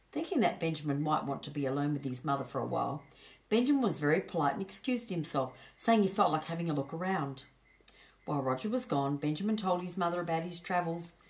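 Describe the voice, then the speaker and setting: A woman's voice: sits low, rough recording. A woman storyteller narrating a children's storybook.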